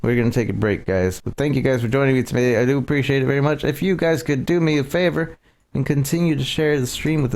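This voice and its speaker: A man's voice: medium pitch, a little mellow, rough recording. A man live streaming a video game, chatting casually and directly to his viewers.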